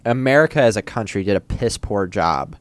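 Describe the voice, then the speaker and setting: A man hosting a casual podcast, affirming his guest with quick agreement and frank opinions. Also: on the low side, expressive.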